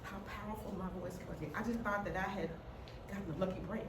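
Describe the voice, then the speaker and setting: A woman's voice: medium pitch, steady tone, quick pace. Middle-aged woman, stutters, candid and funny personal talk; echoey room, mic set at a distance, noisy background. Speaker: speaker telling her story. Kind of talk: personal story talk to an audience. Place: hall before an audience. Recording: roomy sound from a distant mic with noise.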